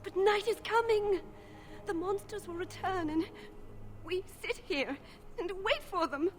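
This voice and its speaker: A woman's voice: very high voice, measured pace, a little hiss or hum. A woman playing a frightened, meek young village woman in a video game, timid and plaintive. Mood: despairing. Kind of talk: video game character dialogue.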